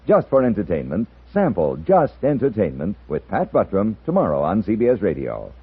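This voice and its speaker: Casting: male 1950s network announcer, low voice with lively swings, scripted promo, tinny old radio sound. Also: moderate pace, slightly rough.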